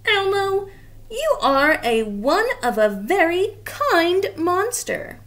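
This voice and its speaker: A woman's voice: extremely high pitch, big pitch swings, slow, fairly bright tone, pitched above the performer's own voice, full character voice. A woman voicing a kindly furry male monster who is sick in bed, in a storybook read-aloud. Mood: grateful, sneezy.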